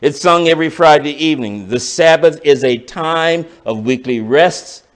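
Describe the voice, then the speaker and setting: A man's voice: on the high side, normal speed. A pastor explaining scripture verse by verse to his congregation in a sermon, talking directly to the listeners. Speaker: pastor teaching a Bible study. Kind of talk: sermon-style Bible teaching.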